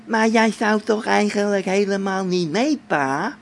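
A man's voice: sits high, a little slow, creaky, slight background noise, voice pushed up. A man voicing a young boy questioning his father in a radio drama. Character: pilot's young son questioning his dad, imagined.

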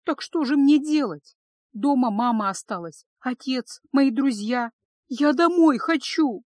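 Audiobook role: scared, tearful eighteen-year-old captive girl played by a woman, pleading, raised pitch, dry close sound. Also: animated, normal speed, a little mellow, no echo. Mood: desperate pleading. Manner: pleading, breathless, sobbing.

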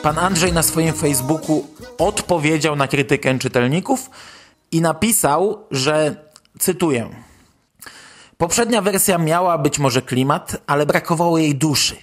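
A man's voice: fairly high pitch, measured pace, soft background music. A Polish man hosting a podcast, commenting on news in a conversational, wry way. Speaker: podcast host.

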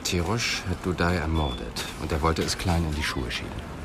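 Probing, matter-of-fact detective, low pitched, for a crime radio play with traffic noise. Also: male voice, lively intonation, moderate pace, creaky, exaggerated voice. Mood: dry, probing. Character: sober male police investigator, probing and thoughtful. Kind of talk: German radio crime drama acting. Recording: noisy outdoor traffic background.

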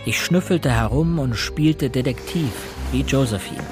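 An earnest, self-doubting young investigator played by a male actor narrates a radio crime drama. His mid-pitched voice sits over clearly audible music. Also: a little slow, close to an everyday voice. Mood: earnest, reflective. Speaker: narrator and protagonist.

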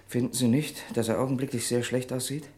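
An actor playing a good-natured but vengeful friend in a German radio crime drama, plain-spoken, avuncular and emphatic. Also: male voice, mid-range voice, steady tone, fairly quick, darkish tone, close to an everyday voice. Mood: concerned, fond.

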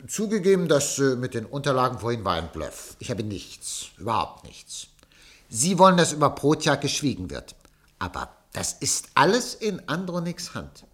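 A male voice of middling pitch that swoops dramatically up and down, a wily newspaper owner in a radio play. He is excitable, theatrical and ingratiating. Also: moderate pace. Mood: agitated. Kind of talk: German radio play acting.

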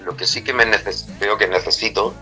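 A middle-aged man from Spain with a rough, cracked voice, talking casually as a rock singer being interviewed, rambling with many restarts and tag questions. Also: on the low side, steady tone, moderate pace, pushed and bright, faint music behind, low-quality recording.

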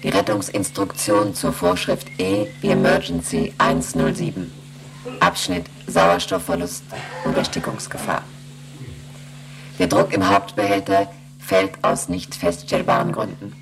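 An actress playing a female ship's computer in a German radio play, reciting emergency readings in a clipped, procedural monotone. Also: rumbling low, a little slow, creaky, over a noisy crowd, heavily acted, rough recording. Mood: impassive, procedural.

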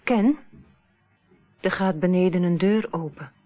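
A woman's voice: fairly low pitch, measured pace, lightly acted. An actress playing an anxious young woman, questioning and uneasy, in a Dutch radio play. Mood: frightened. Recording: muffled, tinny old radio recording.

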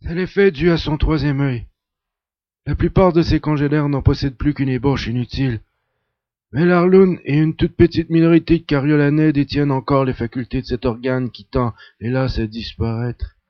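A man's voice: medium pitch, normal speed, dry recording, highs cut off, natural voice. A man playing a knowledgeable, skeptical adventurer in an audio drama, speaking matter-of-factly. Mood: explaining. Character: male adventurer, knowledgeable, skeptical blood brother of an alien.

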